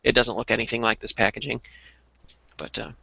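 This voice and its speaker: A man in a casual chat about collectible toys, reviewing them as a co-host with halting, detailed explanations. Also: medium pitch, steady tone, vocal fry, sharp tone, husky, dry recording, dull audio. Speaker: toy reviewer and podcast co-host.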